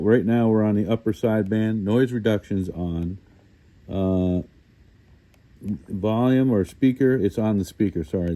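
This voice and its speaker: Low-pitched man, casual gadget walkthrough. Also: warm tone. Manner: informal, unscripted, thinking aloud. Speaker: host of a hobby radio review channel. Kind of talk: casual hands-on product walkthrough.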